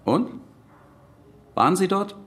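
An actor playing a hostile, officious police official of the Nazi era in a radio drama, brusque, pedantic and interrogating. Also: male voice, high-pitched, expressive, slow pace. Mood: curt suspicion. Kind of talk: radio play acting.

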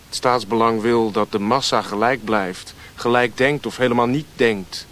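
A man's voice: medium pitch, moderate pace, a bit bright, close to an everyday voice. A man playing a guarded, disillusioned Western radio operator in a radio play, restrained and serious.

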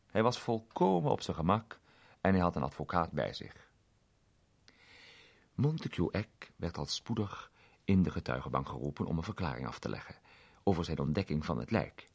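A man narrating a detective story in a measured, expressive reading. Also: sits low, vocal fry, breathy edge.

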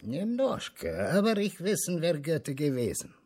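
An actor playing a gruff, hospitable Russian man in a radio drama, speaking blunt broken phrases with a Russian accent. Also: a little high, sing-song, unhurried, a little mellow. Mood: curious.

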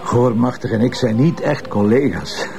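An actor with a Flemish accent playing a polite, patient police commissioner in a radio drama, speaking courteously and with some hesitation. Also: male voice, medium pitch, expressive, moderate pace, creaky, a little mellow.

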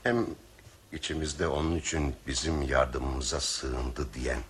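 An actor playing a principled, grave male passenger in a radio play, reasoning in a measured, formal way. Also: extremely low pitch, steady tone, fairly bright tone, slight background noise, exaggerated voice. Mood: grave, firm. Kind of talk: Turkish radio theatre acting.

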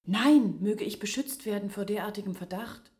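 An actress in a German radio play playing a solemn village storyteller. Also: female voice, medium pitch, normal speed, darkish tone, lightly acted.

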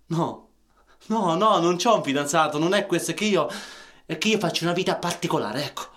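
A man's voice: on the high side, animated, brisk, fairly bright tone. An actor playing a shy, earnest man with a Roman accent in a radio play, hesitant and repeating himself.

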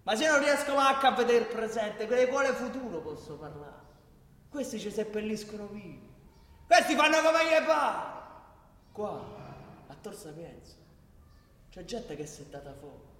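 An actor playing a bitter, sarcastic working-class resident in a radio play, indignant and speaking with a Roman accent. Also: male voice, sits high, sing-song, moderate pace, long pauses, fairly bright tone. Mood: bitter, aggrieved. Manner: sarcastic, indignant, listing grievances. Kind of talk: theatrical radio play dialogue. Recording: echoey hall.

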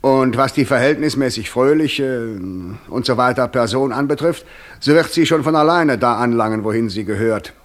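A man's voice: mid-range voice, moderate pace, lightly acted. A man acting the Devil in a German radio play, proud, sardonic and eloquent, with grand, ironic delivery. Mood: haughty, sardonic. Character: the Devil, proud, sardonic, worldly-wise old tempter.

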